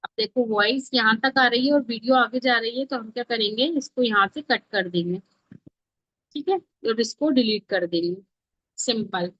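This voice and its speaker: A woman's voice: fairly high pitch, normal speed, slight background noise. A woman instructor giving an informal step-by-step tutorial, often checking that listeners are following along. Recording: dull, lo-fi, dry close audio.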